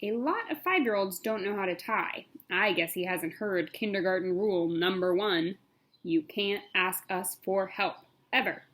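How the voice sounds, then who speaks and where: A woman's voice: medium pitch, normal speed, a bit bright, lightly acted. A woman reading a picture book, voicing an anxious little girl of five in a dramatic, earnest, exclamatory way. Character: anxious five-year-old girl, dramatic, dreading kindergarten. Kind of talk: picture-book read-aloud.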